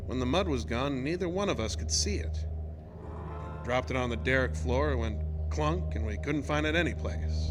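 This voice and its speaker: Actor as a folksy oil-rig worker spinning a yarn. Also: male voice, medium pitch, close to an everyday voice. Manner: folksy, colloquial, halting storytelling. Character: plain-spoken, shaken male oil-rig worker telling his tale. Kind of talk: radio horror drama monologue.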